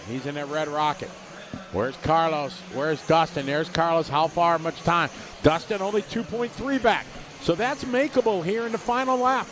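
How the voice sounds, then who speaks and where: A man calling a radio-controlled car race live, giving quick play-by-play and reading out viewer messages. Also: a little high, background noise. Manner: rapid play-by-play, reads viewer chat aloud. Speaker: race commentator.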